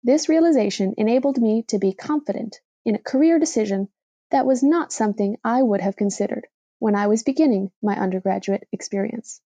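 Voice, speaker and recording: A woman with a fairly high, mellow voice reads a reflective blog essay aloud. Her delivery is measured and deliberate, clearly working from a script. The sound is dry and close, with very little room in it. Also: no room sound. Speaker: reader of a blog post for a podcast.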